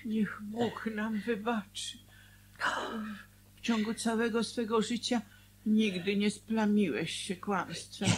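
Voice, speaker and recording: An actress playing an excitable, devoted, pious wife in a Polish radio drama, with stammered exclamations and repetitions. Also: female voice, medium pitch, slow, breathy edge. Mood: uneasy, pleading.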